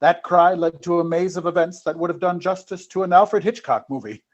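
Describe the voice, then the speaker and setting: Man as detective show announcer, highish voice, wry and theatrical, vintage radio style, muffled lo-fi sound. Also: a little fast. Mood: wry, dramatic. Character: dramatic male announcer of a detective serial.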